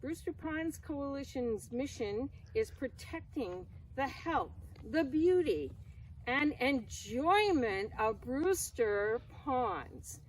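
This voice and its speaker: A woman's voice: sits high, animated, slow. A woman giving a formal, scripted welcome as an introducer for a community group. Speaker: event introducer for a conservation group. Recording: slightly distant mic, faint background noise.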